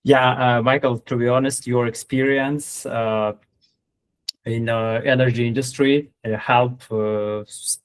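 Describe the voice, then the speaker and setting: A man hosting an online meeting as an educational facilitator, speaking informally and haltingly in non-native English phrasing. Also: mid-range voice, steady tone, clean tone. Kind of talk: hesitant, informal stream hosting.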